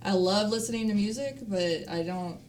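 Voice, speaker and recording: A woman's voice: mid-range voice, moderate pace, darkish tone. A woman chatting casually and candidly about herself. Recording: slightly distant mic, faint background noise.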